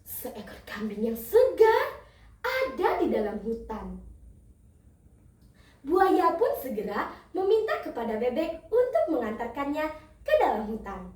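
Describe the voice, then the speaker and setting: A young female telling a children's fable theatrically, with dramatic drawn-out emphasis. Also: sits high, a little mellow. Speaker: storytelling contestant. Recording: echoey room, distant microphone.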